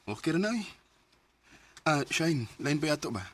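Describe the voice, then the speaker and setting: Earnest lovelorn radio drama suitor. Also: male voice, fairly high pitch, very animated, normal speed, fairly bright tone. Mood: curious, tentative. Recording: music behind the voice.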